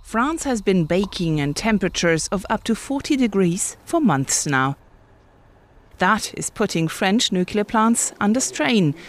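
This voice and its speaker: A woman working as a news reporter, narrating a scripted broadcast report. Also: medium pitch.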